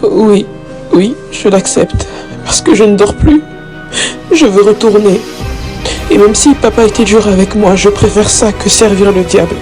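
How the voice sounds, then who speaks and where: A woman's voice: medium pitch, measured pace, lightly acted. Woman acting guilt-ridden young daughter over music. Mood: tearful pleading. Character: troubled young daughter, guilt-ridden, repentant, fearful of death. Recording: background music under the voice.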